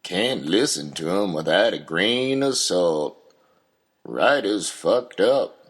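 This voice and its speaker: A man acts out a gruff old man in an audio drama. His voice is low and husky. He drags out his words in a doubting, deadpan way. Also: slow pace, full character voice. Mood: dry, doubting. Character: gruff, doubting old man, profane reluctant father figure.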